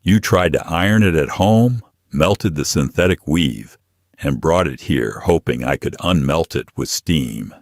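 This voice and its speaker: A man's voice: a bass voice, a little slow, no echo, clean studio sound, heavily acted. Man voicing a composed, unyielding shopkeeper woman. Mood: calm, firm. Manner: calm, precise, unyielding. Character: composed, firm, unafraid female dry-cleaning shop owner.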